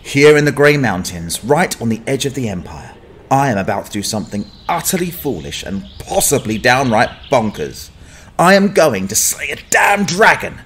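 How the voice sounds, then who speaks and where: A man's voice: medium pitch, sing-song, moderate pace, fairly bright tone, a little hiss or hum. A man playing a sardonic young fallen-noble adventurer, telling his story in wry, dramatic audio drama narration.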